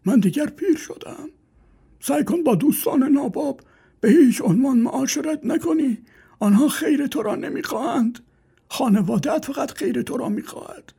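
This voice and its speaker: A man's voice: very high voice, lively intonation, gravelly, dark tone, pitched above the performer's own voice, full character voice. A man voicing a frail old father who sighs and nags his son, in a thin, strained voice. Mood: pleading advice. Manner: sighing, preachy, quavering.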